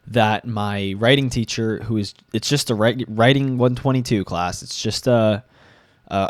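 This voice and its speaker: A young man ranting to camera, sick and a bit congested, halting and restarting as he gets agitated. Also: on the low side, dry recording, clean studio sound. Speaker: vlogger. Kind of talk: ranting commentary.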